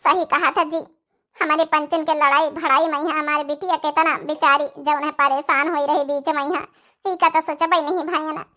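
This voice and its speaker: A woman's voice: sits high, flat delivery, moderate pace, sharp tone. A woman voicing a repentant young village wife in a cartoon, pleading effusively in an Awadhi accent. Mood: regretful.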